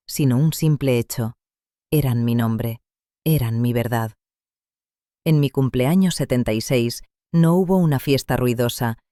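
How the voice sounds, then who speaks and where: A man narrating a first-person story in a calm, measured, reflective way. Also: mid-range voice, dry recording, studio quality.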